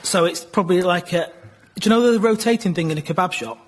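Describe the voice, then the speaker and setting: A man with a very high voice explains something informally as a guest. He is animated and gropes for words, with faint noise around him. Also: quick pace. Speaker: guest on a panel show.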